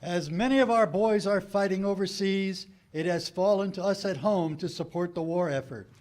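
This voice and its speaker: A man's voice: sits high, darkish tone. A man playing an earnest, formal 1940s wartime radio announcer reading a scripted public appeal.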